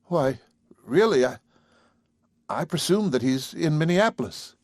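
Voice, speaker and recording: A man's voice: a little high, expressive, measured pace, darkish tone, no echo, clean studio sound, voice pushed up. A man voicing a proud young wife, defiant yet shaken, speaking in a tense, clipped way.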